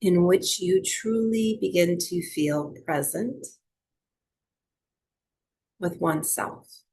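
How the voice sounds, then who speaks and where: Female meditation guide: very unhurried, gravelly edge, soft soothing instruction for breathwork and rest. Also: fairly low pitch. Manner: soothing, gentle, unhurried instruction with long pauses. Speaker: yoga and breathwork teacher.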